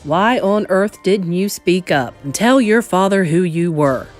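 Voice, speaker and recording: A woman reading aloud, voicing a firm, calm elderly grandmother who speaks low and measured. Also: faint music behind. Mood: warm, brisk.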